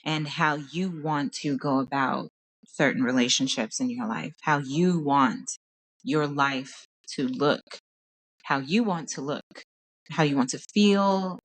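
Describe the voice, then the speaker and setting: Low-voiced woman podcasting at home, hoarse and worn out, kinda rambly. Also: measured pace. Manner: meandering, searching for words, tired. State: hoarse from crying. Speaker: podcast host. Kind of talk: casual solo podcast talk.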